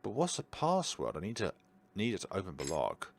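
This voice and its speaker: Low-voiced man narrating with lively, swinging pitch. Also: a little fast, gravelly, a little hiss or hum. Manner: dramatic read-aloud mixed with casual asides. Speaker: gaming video host. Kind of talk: let's play, reading game text and commenting.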